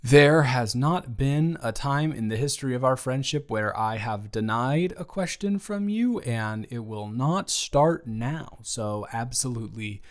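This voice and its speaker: Rambling young best friend, voiced by a man, teasing, stumbling over his words, mid-pitched. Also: lively intonation, a little slow, hardly any pauses, lightly acted. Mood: teasing, comforting. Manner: rambling, teasing, self-correcting. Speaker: audio roleplay creator. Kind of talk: improvised audio roleplay.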